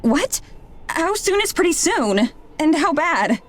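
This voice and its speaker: A woman's voice: extremely high pitch, big pitch swings, takes it slowly, heavily acted. A woman playing a cautious, skeptical young film crew member in a visual novel, pointed and wary in delivery. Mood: alarmed. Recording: music behind the voice.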